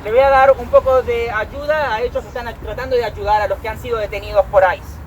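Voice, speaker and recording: Very fast-talking male interpreter outdoors. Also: very high voice, fairly even intonation, low-quality recording. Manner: rapid, halting with fillers. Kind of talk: live interpretation at a rally. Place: outdoor street rally. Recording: outdoor rally with street noise.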